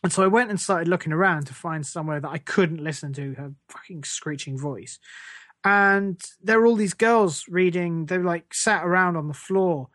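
A man's voice: high-pitched, normal speed, fairly bright tone, no echo, clean studio sound. An English man hosting a podcast in a casual comic rant, sweary, scornful and sarcastic. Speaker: podcast host.

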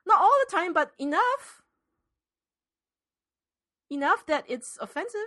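A woman's voice: extremely high pitch, lively intonation, a little slow, bright, forceful tone. A woman co-hosting a podcast, arguing casually and emphatically, often repeating words for stress. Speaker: podcast co-host. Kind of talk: casual debate.

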